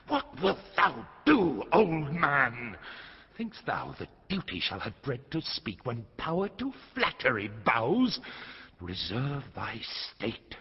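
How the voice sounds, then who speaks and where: A man's voice: mid-range voice, breathy edge, exaggerated voice. An actor playing a blunt, loyal nobleman, bold and earnest, declaiming in classical Shakespearean stage style. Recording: muffled hall reverb, faint music.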